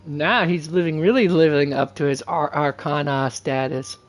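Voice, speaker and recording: A man's voice: fairly high pitch, fairly even intonation, moderate pace, soft background music. A man doing casual game livestream commentary, rambling and thinking aloud to his viewers. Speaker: game streamer.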